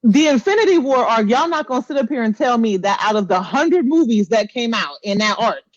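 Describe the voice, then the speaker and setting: A woman's voice: a little high, expressive, a little fast, dry recording, lo-fi sound. A woman co-hosting a podcast in casual, slangy banter, teasing and emphatic, repeating herself for effect. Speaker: podcast co-host.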